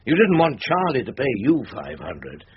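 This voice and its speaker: An actor playing a wily older English defence barrister in a radio drama, sardonic and theatrical. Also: male voice, mid-range voice, wildly swooping pitch, moderate pace. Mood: probing. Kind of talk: BBC radio drama acting and narration. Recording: dull lo-fi radio recording, faint hiss.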